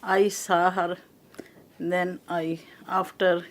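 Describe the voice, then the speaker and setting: An older woman from Pakistan, a native Urdu speaker, a guest speaking halting English with a Pakistani accent and many restarts. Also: on the low side, level tone.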